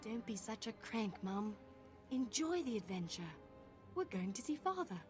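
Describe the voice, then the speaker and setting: A woman's voice: fairly high pitch, big pitch swings, moderate pace, faint music behind. A young woman playing a spirited, thrill-seeking noble daughter in a video game, with an eager, theatrical manner. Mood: excited, teasing. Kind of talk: video game cutscene acting.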